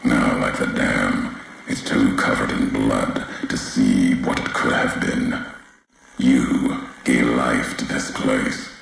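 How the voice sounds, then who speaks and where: A male actor playing a brooding, embittered courier and former soldier in a video game, speaking solemnly and cryptically in weighty, deliberate phrases with heavy pauses. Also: fairly bright tone, full character voice. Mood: somber, mournful, then grave, accusing. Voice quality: very deep, gravelly. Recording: roomy echo, faint background noise.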